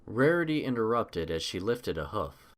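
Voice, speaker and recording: A man's voice: fairly low pitch, big pitch swings, normal speed. A man reading scripted story narration as an audiobook narrator.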